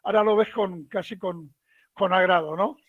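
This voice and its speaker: A man gives a relaxed, folksy technical talk over a video call. His voice sits fairly high. The audio is dull and lo-fi, with a dry, close sound. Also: moderate pace, dry recording. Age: 70s. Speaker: guest lecturer. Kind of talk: online technical lecture.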